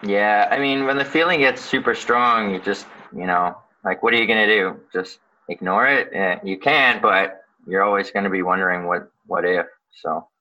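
A man from Canada, born in Ukraine, answering interview questions casually, informally and haltingly as he thinks aloud. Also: on the low side, pushed and bright. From born in Ukraine, grew up in Canada. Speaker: guest, underwater photographer and dive professional. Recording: slightly dull, lo-fi sound.

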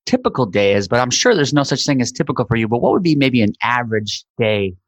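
A man's voice: mid-range voice, sing-song, fast, dry recording. Upbeat male podcast host, dry close sound. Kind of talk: scripted show intro, then interview.

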